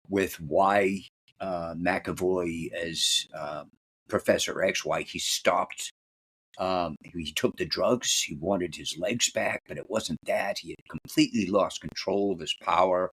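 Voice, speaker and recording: Man, low husky voice, enthusiastic fan, casual podcast talk, dry close sound. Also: slow pace, a bit bright, no echo. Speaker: podcast co-host. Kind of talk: casual film discussion.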